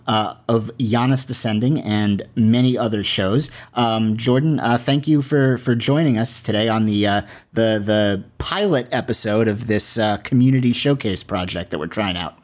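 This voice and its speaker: A man hosting a podcast, presenting and interviewing, somewhat halting when he speaks off the cuff. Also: mid-range voice, darkish tone. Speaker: podcast host.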